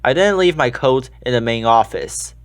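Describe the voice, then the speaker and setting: A man's voice: mid-range voice, expressive, moderate pace, natural voice. Fretful, forgetful male schoolteacher, read by a man. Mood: flustered.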